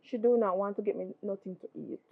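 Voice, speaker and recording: A woman voicing a sulky, jealous daughter with a Jamaican accent, grumbling low. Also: fast talker, vocal fry, dry recording. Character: sulky daughter jealous of her favored sister. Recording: dry tinny phone-like sound.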